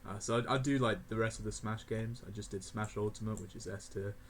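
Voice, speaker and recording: Young man, eighteen, fairly low voice, rambling, laughs a lot, faint room noise, mouse clicks. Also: fairly even intonation, a little fast. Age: young adult (18). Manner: rambling, laughing often, many fillers. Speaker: gaming video creator. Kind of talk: casual tier list commentary.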